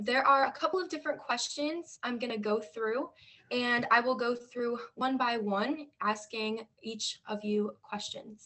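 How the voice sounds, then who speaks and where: A woman's voice: a little high, fairly bright tone. A woman moderating a panel discussion, asking questions in a friendly manner with some fillers.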